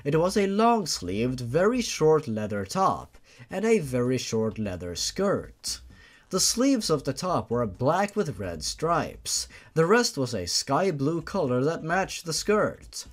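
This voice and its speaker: Animated higher-pitched man reading a story aloud. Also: slow pace. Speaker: narrator. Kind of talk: dramatic reading of online horror fan fiction. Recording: music behind the voice. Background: music.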